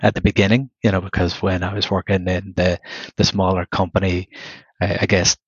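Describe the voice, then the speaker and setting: A man from Northern Ireland with a Northern Irish accent, a manager talking through his career in a podcast interview, measured and given to restarting his phrases. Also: low voice, level tone, husky, dry recording, dull audio. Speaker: guest manager.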